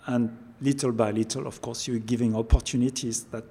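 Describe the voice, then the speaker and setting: A man's voice: medium pitch, steady tone, dark tone, breathy edge. Older french guy, chef, thick french accent, stops and restarts a lot. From France. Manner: halting, repeats words while searching for phrasing. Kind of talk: interview conversation.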